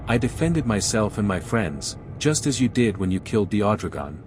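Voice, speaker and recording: Male fantasy game adventurer: frank, composed, slightly deep, noise behind. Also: very animated, moderate pace. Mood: firm. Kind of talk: video game voice acting. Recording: clear background noise.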